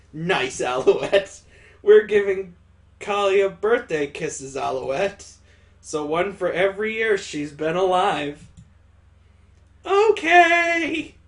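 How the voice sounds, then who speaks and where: A man's voice: high-pitched, expressive, takes it slowly, exaggerated voice. A man as a playful, teasing young woman. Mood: gleeful.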